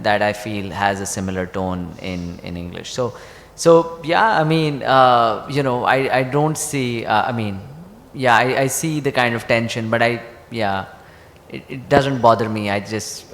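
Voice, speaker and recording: A male author whose first language is Urdu, speaking hesitantly on a festival panel, trailing off softly. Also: fairly low pitch, vocal fry. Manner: hesitant, many fillers and restarts, soft trailing ends. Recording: reverberant festival hall on PA, faint noise.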